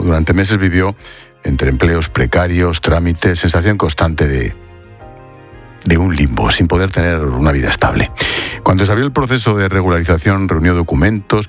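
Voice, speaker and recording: Low-voiced Spanish male news presenter. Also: expressive, moderate pace, soft background music. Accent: Spain. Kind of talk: broadcast news narration and interview.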